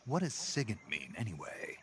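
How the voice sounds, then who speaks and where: An actor playing a gruff, deadpan soldier in a video game, with a rough, gravelly voice and terse delivery. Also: male voice, on the low side, normal speed, slight background noise. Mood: dry, questioning. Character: gruff deadpan male soldier on a covert mission.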